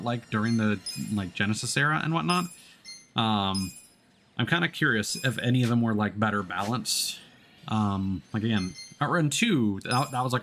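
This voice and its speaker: A man's voice: medium pitch, a little hiss or hum. A man giving casual livestream gameplay commentary, muttering reactions with some mild swearing. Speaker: video game livestreamer. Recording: loud game music behind voice.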